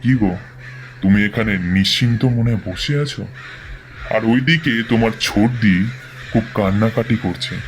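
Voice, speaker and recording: A man's voice: fairly low pitch, a little hiss or hum, natural voice. A man playing the ghost of a dead brother-in-law, speaking earnestly and urgently in an audio horror story.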